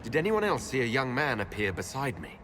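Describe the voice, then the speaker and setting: An actor playing a composed, wry male hero in a fantasy game, with a measured, dry manner. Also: medium pitch, very animated, fairly bright tone, a little hiss or hum. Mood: puzzled. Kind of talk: video game drama acting.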